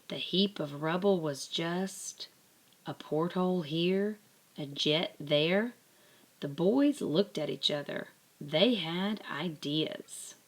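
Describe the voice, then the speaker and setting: A woman narrating a children's picture book aloud in a deliberate, expressive way. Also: lowish voice. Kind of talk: scripted children's story narration.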